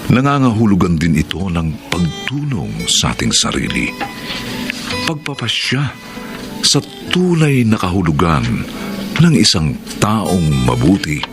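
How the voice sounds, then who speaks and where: A man giving solemn, dramatic scripted narration as a Filipino radio drama announcer, measured and moralizing. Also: low-pitched, huge pitch swings. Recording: loud music under the voice.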